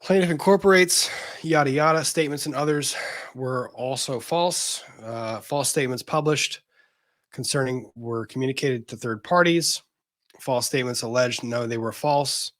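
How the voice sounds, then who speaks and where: A man's voice: mid-range voice, normal speed, fairly bright tone. A man hosting casual legal commentary in a blunt, exasperated, sometimes profane way.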